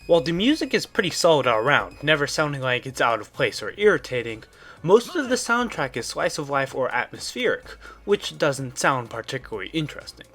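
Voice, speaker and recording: A man's voice: a little high, sing-song, brisk, sharp tone. Man narrating a scripted review. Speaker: video reviewer.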